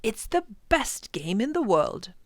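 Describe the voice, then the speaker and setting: A woman voicing an earnest, enthusiastic male professor in a children's storybook, urging listeners on with dramatic emphasis and hushed words. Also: fairly high pitch, very animated, a little slow, no echo, clean studio sound. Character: older male professor, passionate, earnest, wonder-filled teacher. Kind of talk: children's storybook read-aloud.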